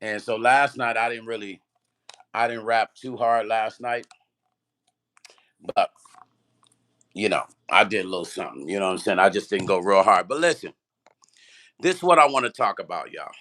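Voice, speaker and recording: A man with a strained, partly lost voice, chatting loosely on a livestream and greeting viewers by name, clearing his throat often. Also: fairly low pitch, lively intonation, normal speed, pauses often, a bit bright. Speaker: music vlogger and producer. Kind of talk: casual livestream chat.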